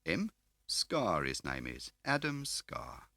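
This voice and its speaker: A man's voice: sits low, wildly swooping pitch, takes it slowly, sharp tone, dry recording, pitched above the performer's own voice, full character voice. A man voicing a sour, disapproving male pub landlord with a curt, grudging manner in an audiobook. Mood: sour disapproval. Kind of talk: audiobook character voice.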